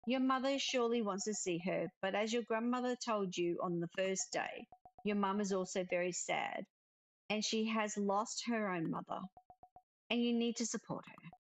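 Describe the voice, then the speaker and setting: A woman reading the part of a gentle, caring young witch in a cozy visual novel. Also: medium pitch, moderate pace, faint music behind, lightly acted, poor audio. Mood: encouraging. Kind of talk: visual novel character read aloud.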